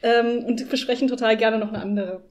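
A woman's voice: fairly high pitch, fairly even intonation, brisk, a little hiss or hum. A woman hosting a podcast, chatting casually in a colloquial, encouraging manner. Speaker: podcast host.

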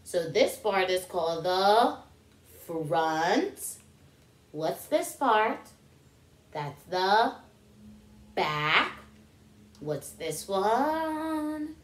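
Slow, animated woman reading to children. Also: mid-range voice. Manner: animated, questioning, stretches out key words for young children. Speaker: children's teacher reading a storybook aloud. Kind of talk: children's story time read-aloud. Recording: roomy indoor echo.

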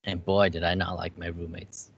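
Relaxed young man on a podcast. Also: low-pitched, moderate pace, slightly rough, highs cut off. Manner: relaxed, curious, swears casually. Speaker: podcast host. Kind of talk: casual video-call chat. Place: home gaming setup, indoors. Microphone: headset with desk mic.

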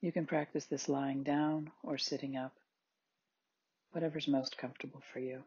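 Very husky, breathy meditation guide, sex-ambiguous voice. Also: medium pitch, level tone, dry recording, dull audio. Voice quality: very husky, breathy, at times near-whispered. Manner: gentle, unhurried, with spaced short phrases. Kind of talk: guided body scan meditation, soothing instruction.

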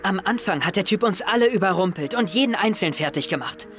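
An actor playing an earnest, resolute teenage schoolboy in an audio drama about hunting a hacker. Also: male voice, high-pitched, expressive, fast, a bit bright, faint music behind.

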